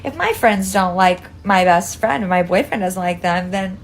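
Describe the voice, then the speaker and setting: A young woman telling a confessional storytime in a casual, colloquial, animated manner, acting out bits of dialogue. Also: medium pitch, measured pace, slight background noise. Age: young adult. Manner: animated, colloquial, swearing, acts out quoted dialogue.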